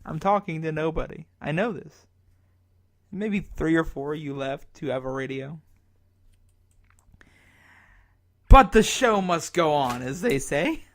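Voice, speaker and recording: An actor playing a bitter, despairing emergency radio broadcaster in a zombie apocalypse drama, halting, sardonic and self-berating in his monologue. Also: fairly high pitch, animated, close to an everyday voice. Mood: weary, grim. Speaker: radio announcer.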